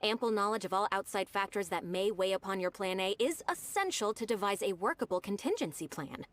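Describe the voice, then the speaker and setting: A woman plays an efficient young female secretary from a game. Her delivery is very animated, swinging up and down in pitch. She is formal, articulate and businesslike, with an eager, deferential manner toward her employer. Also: a little fast, natural voice. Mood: brisk, instructive. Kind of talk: scripted game dialogue.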